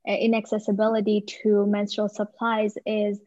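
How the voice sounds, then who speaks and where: A woman's voice: medium pitch, monotone, moderate pace, dark tone, dry recording, lo-fi sound. A woman in her twenties from Bangladesh, introducing herself on a video call with many hesitant "um"s. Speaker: nonprofit founder.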